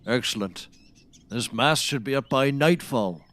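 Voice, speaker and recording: A man's voice: medium pitch, a little slow, background noise, natural voice. An actor playing a grandiose woodsman obsessed with seafaring, declaiming nautical bombast in a scripted comedy. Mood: grand storytelling. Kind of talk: scripted comedy audio acting.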